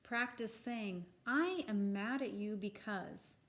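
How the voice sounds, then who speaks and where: Slow-paced woman reading to children. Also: mid-range voice. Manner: deliberate, expressive, instructional phrasing for young children. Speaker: children's picture book reader. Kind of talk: read-aloud of a children's book.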